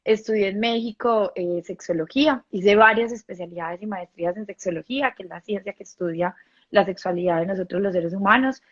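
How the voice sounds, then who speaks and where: A woman's voice: mid-range voice, a little fast. Paisa woman on a lo-fi call. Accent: Colombian paisa with a slight Mexican touch. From Colombia. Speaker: guest sexologist. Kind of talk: online live interview.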